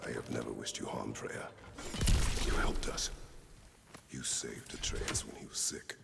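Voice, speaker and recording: A man playing a grim, middle-aged warrior father in a video game, terse, solemn and measured, with a very deep gravelly voice. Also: little pitch movement, in a whisper, full character voice. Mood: grave, resigned. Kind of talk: dramatic video game acting.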